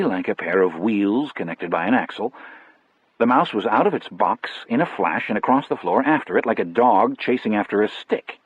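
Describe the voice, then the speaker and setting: A man narrating a first-person audiobook story in a measured, reflective manner. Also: fairly low pitch, husky, no room sound, muffled recording.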